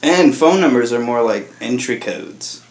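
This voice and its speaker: A man's voice: medium pitch, animated, measured pace. A man of about thirty hosting a casual group podcast chat. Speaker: podcast host. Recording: roomy echo, faint music.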